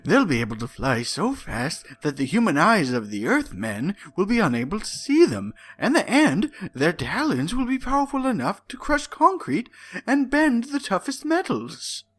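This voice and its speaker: A man's voice: sits high, huge pitch swings, moderate pace, pitched above the performer's own voice, full character voice. A man voicing a gloating male villain scientist with a grandiose, mock-dramatic delivery.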